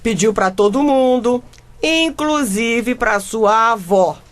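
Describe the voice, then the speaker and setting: Uma atriz interpretando uma mãe brasileira de classe média, desorganizada e argumentadora, em radionovela cômica. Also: female voice, on the high side, takes it slowly. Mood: chiding. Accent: Brazilian. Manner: expressive, reasoning and chiding. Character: middle-class mother, newspaper reporter, untidy and argumentative. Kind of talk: comedic radio drama acting.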